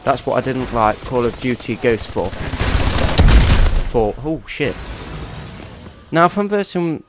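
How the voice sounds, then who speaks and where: Stuffy-nosed gamer lad rambling. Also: male voice, medium pitch, sing-song, a little slow, over loud music. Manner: rambling, chatty, swears at opponents. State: congested with a cold. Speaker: gaming YouTuber. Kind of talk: casual gameplay commentary.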